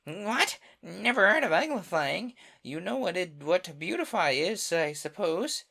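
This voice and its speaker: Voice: medium pitch, big pitch swings, measured pace, vocal fry, pushed and bright, pitched above the performer's own voice, exaggerated voice. A reader voicing an impatient, scolding male Gryphon in a storybook reading, blustering at a child.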